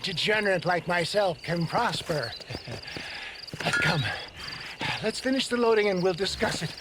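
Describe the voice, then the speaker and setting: A man playing a high-voiced, grandiloquent old swindler. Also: normal speed, background noise, close to an everyday voice. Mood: scheming, theatrical. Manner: grandiloquent, florid, theatrical. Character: wily, verbose older male snake-oil salesman, genially crooked. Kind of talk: video game dialogue acting. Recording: music behind the voice.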